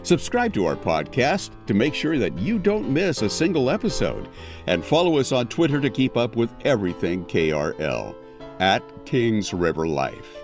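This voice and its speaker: A man's voice: medium pitch, big pitch swings, moderate pace. A man working as a podcast announcer, reading a scripted outro. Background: guitar music.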